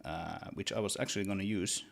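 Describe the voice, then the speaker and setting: A man with a Swedish accent and a deep, low voice chats casually while he works at his desk. He thinks aloud, restarting and repeating words in a relaxed, rambling way. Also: a little fast, gravelly. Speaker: solo game developer streaming. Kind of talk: casual livestream chat while working.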